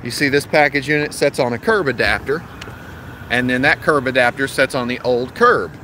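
Guy in his 30s vlogging from a roof on his phone, explains stuff step by step, loud traffic behind. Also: medium pitch, normal speed. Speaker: HVAC technician vlogger. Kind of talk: casual talk to camera. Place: outdoors on a commercial rooftop. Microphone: phone held at arm's length. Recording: outdoor phone audio with traffic noise.

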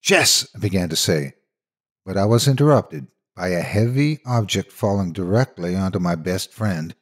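A man narrating a scripted horror story in a dramatic, measured, suspenseful manner. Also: sits low, animated, a little mellow.

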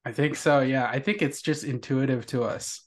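A man's voice: mid-range voice, steady tone, normal speed. A man in his thirties with a blended Indian and Irish accent, hosting a casual interview, close on the mic. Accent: mixed Indian and Irish. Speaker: podcast host. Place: indoors, small room with wall art, on a video call. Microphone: large microphone on boom arm, close.

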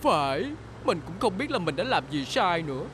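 A voice actor playing a boastful young sports car in a dubbed children's cartoon, eager and quick to protest. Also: medium pitch, sing-song, noisy background, close to an everyday voice. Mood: glum. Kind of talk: cartoon dubbing.

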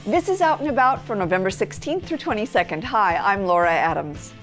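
A woman's voice: medium pitch, animated, fairly quick, soft background music. A middle-aged American woman presenting a scripted television events calendar. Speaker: TV segment presenter. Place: TV studio.

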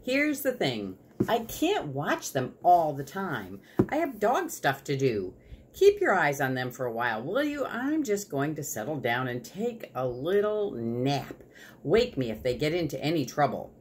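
Woman as a slow, wry old working dog. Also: sits low, wildly swooping pitch, a little hiss or hum, heavily acted. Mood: weary, wry. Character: retired search-and-rescue dog, weary, wry narrator.

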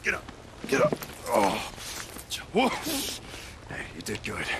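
An actor playing a protective, stern older brother in a video game, earnest and moralizing, speaking emphatically. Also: male voice, fairly low pitch, huge pitch swings, takes it slowly, exaggerated voice. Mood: worried, grim. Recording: loud ambient noise behind.

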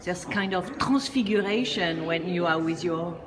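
A woman off camera interviewing a guest, asking halting questions that she often rephrases, and laughing along with his answers. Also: on the low side, crowd noise. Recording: off camera, long echo, clear background noise.

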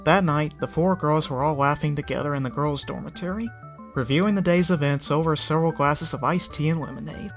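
A fanfiction reader narrating a storybook in a steady, even way. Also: mid-range voice, brisk. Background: faint music.

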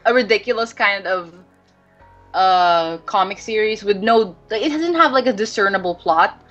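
A woman's voice: mid-range voice, animated, normal speed, a bit bright, muffled recording, soft background music. A woman talking casually as a podcast guest, rambling with lots of fillers and restarts. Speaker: podcast guest, webcomic creator.

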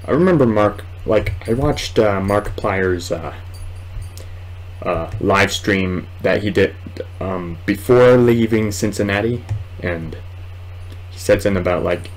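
A man in his twenties giving casual, rambling let's play commentary at home, halting at times. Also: on the low side, low-quality recording. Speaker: gaming video host. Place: small room at home, indoors.

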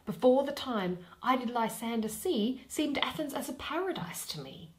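A young woman reading a play in verse aloud, dramatically and expressively, pausing between phrases. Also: sits high, animated, noisy background. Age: young adult. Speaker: reader of a Shakespeare play. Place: small plain room indoors.

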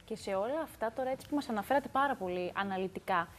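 A young woman hosting a TV studio interview, asking the guest a clear, plain question on a lapel mic. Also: medium pitch, slight background noise. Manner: attentive, asks plain questions. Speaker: TV host. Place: bright TV studio.

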